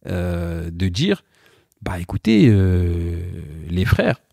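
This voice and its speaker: A man's voice: low voice, wildly swooping pitch, very slow, creaky, a little mellow, no room sound, clean studio sound. A man, born in Portugal, chatting animatedly as a guest in an interview, often repeating words while he looks for them. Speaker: guest, car company founder. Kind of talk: casual interview chat.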